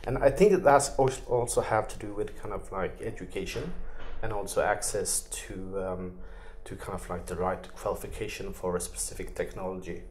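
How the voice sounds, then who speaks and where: A man's voice: deep voice, normal speed, darkish tone, a little hiss or hum. Norwegian-accented man, filler-heavy talk. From Norway. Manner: loose phrasing with frequent "kind of, like" fillers. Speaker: interviewee, tech executive. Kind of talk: conversational interview answers.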